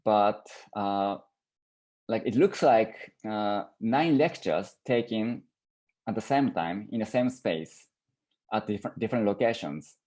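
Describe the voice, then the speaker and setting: Low-voiced man, halting artist talk. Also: lively intonation, darkish tone. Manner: halting, searching for words. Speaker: artist presenting own work.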